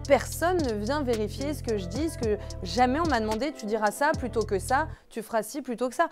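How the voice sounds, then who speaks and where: A woman's voice: a little high, lively intonation, brisk. A young woman from the Paris region, a TV news journalist, explaining earnestly with many fillers in a casual interview. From Paris region, France. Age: young adult. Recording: music clearly underneath.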